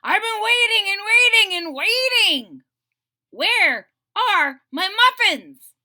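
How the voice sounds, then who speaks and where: Lady doing a hungry storybook dragon, loud n high, whiny drawn-out demands, swoops around. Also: lively intonation, exaggerated voice. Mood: plaintive, demanding. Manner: loud, drawn-out, plaintive exclamations. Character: hungry male dragon, demanding but kind-hearted. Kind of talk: children's storybook character voice.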